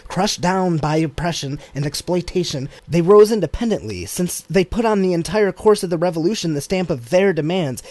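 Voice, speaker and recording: A man reading a political text aloud as an audiobook, in a measured, deliberate cadence. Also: fairly high pitch, a little mellow, dry recording. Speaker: audiobook reader.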